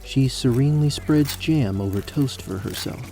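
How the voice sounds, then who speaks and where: Male game master narrator, deeply mellow tone, mid pitch, scripted fantasy storytelling. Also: normal speed, gravelly, soft background music. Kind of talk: present-tense story narration for tabletop audio drama.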